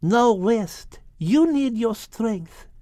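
A man's voice: high voice, expressive, very slow, dark tone, dry recording, clean studio sound, voice pushed up, heavily acted. A man voicing a dainty, affectionate little female animal with a French accent. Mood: fond, eager. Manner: affectionate, exclamatory.

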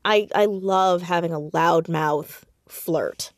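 A woman with a slightly low voice talks casually as a creative guest in an interview. She tells things in an animated, self-deprecating way and leans on key words. The sound is dry, with very little room in it. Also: takes it slowly, no room sound. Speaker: podcast guest, audio drama writer and creator. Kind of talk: casual interview chat about own writing.

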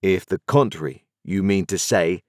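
A man's voice: low-pitched, moderate pace, no room sound, clean studio sound, lightly acted. A man voicing a stern, curt middle-aged lawyer who gives commands.

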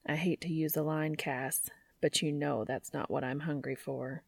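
A woman's voice: low voice, fairly even intonation, normal speed, creaky, no echo, pitched below the performer's own voice. A woman voicing a brash, slangy, earnest man in an audiobook-style story. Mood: low, seductive.

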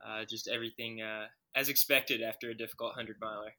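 A man, a guest runner, answering in an even, measured way with plenty of fillers. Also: fairly low pitch. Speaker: guest ultrarunner. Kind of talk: casual interview chat.

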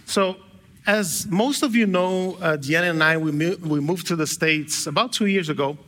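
A man's voice: fairly high pitch, normal speed, a bit bright. A man from Brazil preaching a sermon to a church congregation. He speaks conversationally, often correcting himself mid-sentence and adding light humor. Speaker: guest pastor. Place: church sanctuary with a live congregation.

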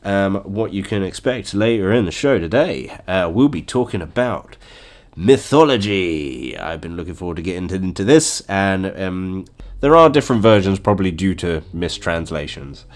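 A man's voice: low-pitched, animated, normal speed. A man hosting a casual podcast with a blocked nose from a cold, chatty and joking, chuckling often. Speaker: podcast host. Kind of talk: casual solo podcast chat.

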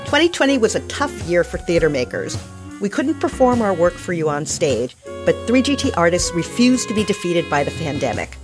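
Female presenter, scripted theater introduction, on the lower side, music bed underneath. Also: sing-song, fairly quick. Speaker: artistic director introducing a radio play. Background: guitar music.

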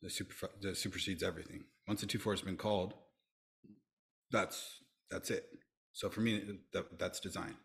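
A man's voice: deep voice, fairly even intonation, normal speed, gravelly, a bit bright. A middle-aged man speaking earnestly as a podcast guest, often restarting and repeating himself. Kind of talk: reflective interview talk.

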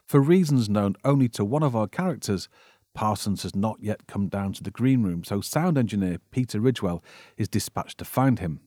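A man reading a scripted narration that recaps an old mystery film, with a husky voice. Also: lowish voice, lively intonation, normal speed, warm tone, no echo, studio quality. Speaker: narrator presenting a film summary.

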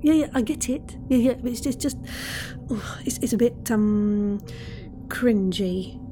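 A woman playing a polite, sceptical crewmate and narrator in a scripted audio drama, speaking in a measured way. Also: on the high side, natural voice. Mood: sceptical, hesitant. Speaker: podcast host. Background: music.

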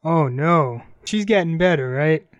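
Male radio host, higher-pitched voice, dry close sound, casual chat introducing songs. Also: animated, unhurried, dry recording, muffled recording. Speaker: host of an anime radio show.

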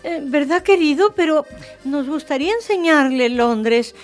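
An eager English wife in a radio drama. Her voice is very high and chirpy, and she takes her time, sociable and deferring. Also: animated, measured pace, soft background music. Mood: eager, apologetic. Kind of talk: radio theatre acting.

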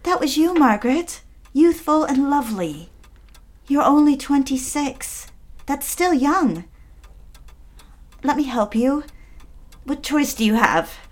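A woman's voice: high voice, expressive, slow pace, long pauses. A woman playing an earnest, lonely young woman in an audio drama, prim and coaxing, eager to be a friend. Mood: coaxing, bright.

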